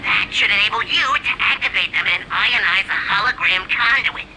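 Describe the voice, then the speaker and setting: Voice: mid-range voice, moderate pace, background noise. An actor voicing a fretful, fussy alien technician in a video game, giving technical instructions over a radio. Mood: instructing.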